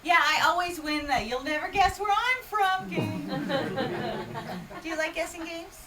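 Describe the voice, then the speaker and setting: A woman's voice: very high-pitched, unhurried, crowd noise. A woman from Hawaii performing magic, teasing and quizzing a small parlour audience with comic patter. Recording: distant mic with steady background noise.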